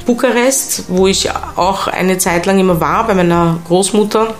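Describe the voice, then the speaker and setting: A woman's voice: medium pitch, moderate pace. A woman with an Austrian accent, a guest in a studio interview, chatting effusively and wandering off into digressions. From Vienna, Austria. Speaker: interview guest, stage performer. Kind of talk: casual conversational storytelling. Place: radio studio.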